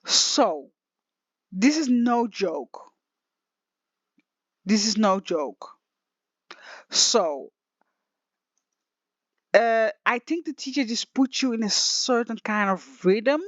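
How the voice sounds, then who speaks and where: A woman teaching music software in a casual online tutorial, rambling and emotional with many restarts, her voice slightly raspy. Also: a little high, lively intonation, drawn-out words, pauses often, dry recording. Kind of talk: casual screen-share tutorial. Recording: dull lo-fi dry sound.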